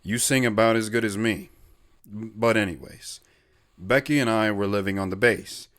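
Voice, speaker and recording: A man's voice: on the low side, steady tone, normal speed, a bit bright, no room sound, studio quality, lightly acted. A man playing a guarded, plain-spoken middle-aged wanderer telling his life story as a radio guest in an audio drama. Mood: guarded, reflective. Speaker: talk show guest.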